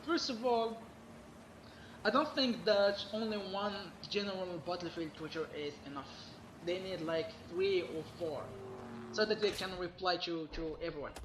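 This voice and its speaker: A man giving an emphatic, complaining gaming commentary while losing his voice, sounding hoarse. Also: sits high, measured pace, fairly bright tone, reverberant, slight background noise, rough recording. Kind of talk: solo gaming rant.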